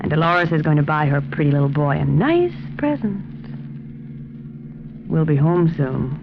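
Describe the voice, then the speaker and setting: A woman's voice: deep voice, expressive, moderate pace. A ruthless crime-drama femme fatale. She is domineering and coquettish, swinging between scorn and seduction. The sound is a dull, noisy 1940s radio recording. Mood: teasing, seductive.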